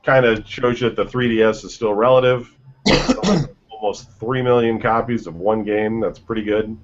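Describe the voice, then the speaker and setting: A man with a lowish voice hosts a gaming news show, reading stories and then riffing on them with swearing and jokes. The audio sounds dull and lo-fi, like a home podcast recording. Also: fairly even intonation, normal speed. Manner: reads headlines then jokes, swears freely. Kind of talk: casual news chat.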